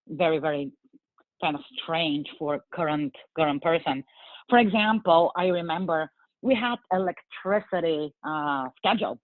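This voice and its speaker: A woman in her forties from Bulgaria, speaking English with a Bulgarian accent, answering interview questions in an earnest, measured way with frequent fillers. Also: sits low, no room sound. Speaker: guest. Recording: tinny phone-like line.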